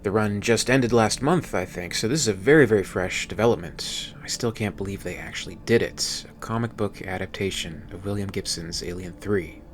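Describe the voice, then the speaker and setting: A man's voice: lowish voice, brisk, hardly any pauses, slight background noise. A man hosting a video channel, delivering scripted commentary.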